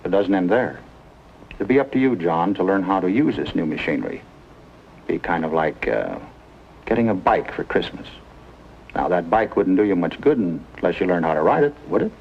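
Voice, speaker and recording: An American man playing a calm, plain-spoken doctor with a folksy, measured manner in a 1950s TV drama. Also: deep voice, creaky, husky, full character voice. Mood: reassuring. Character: calm, plain-spoken male doctor, reassuring with young patients. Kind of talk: 1950s television drama acting. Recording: muffled, noisy old 1950s TV soundtrack.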